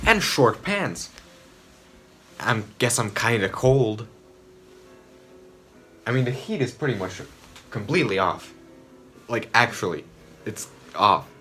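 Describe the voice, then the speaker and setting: A man's voice: lowish voice, bright, forceful tone. A man from Romania, giving loose, rambling commentary on a TV episode from his home and swearing freely. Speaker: video essayist. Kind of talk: casual review commentary. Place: home room, indoors.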